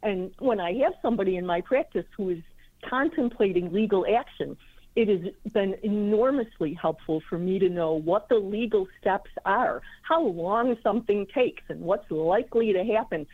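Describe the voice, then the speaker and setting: A woman's voice: mid-range voice, normal speed. A woman psychiatric nurse practitioner speaking fluently as a radio guest over a phone line. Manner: fluent, explanatory. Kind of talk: radio interview.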